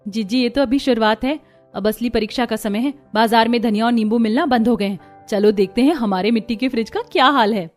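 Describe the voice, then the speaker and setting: A woman's voice: on the high side, a little fast, faint music behind, lightly acted. A woman playing a hardworking, earnest young village daughter-in-law in a cartoon.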